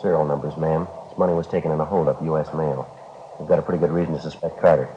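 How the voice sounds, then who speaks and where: An actor playing a terse, businesslike police detective in a 1950s radio drama, with clipped, matter-of-fact delivery. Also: male voice, very deep voice, fairly even intonation, fast, mellow, slight background noise. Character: terse, businesslike male police detective narrating his case. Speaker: lead actor and narrator. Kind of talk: 1950s radio drama acting, scripted narration. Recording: dull 1950s radio recording, music behind.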